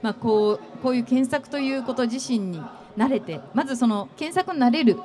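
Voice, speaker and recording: A middle-aged woman interviewing a guest on an expo stage, asking long, gentle questions full of fillers into a handheld mic. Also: a little high, expressive, moderate pace. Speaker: interviewer, company executive.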